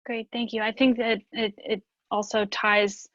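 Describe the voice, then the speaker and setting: A woman's voice: fairly high pitch, flat delivery, unhurried, fairly bright tone, no room sound, lo-fi sound. A woman moderating a webinar Q&A, polite and hesitant with frequent ums.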